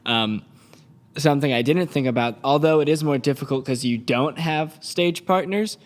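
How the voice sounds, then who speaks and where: A man's voice: fairly high pitch, moderate pace. Earnest man on a podcast. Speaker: actor guest. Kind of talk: casual podcast chat.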